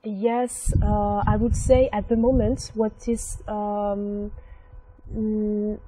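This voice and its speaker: Slow, hesitant young woman in a garden. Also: medium pitch, dark tone, low-quality recording. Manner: hesitant, searching for words with many fillers. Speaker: interviewee. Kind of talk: reflective interview answers. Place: outdoor garden.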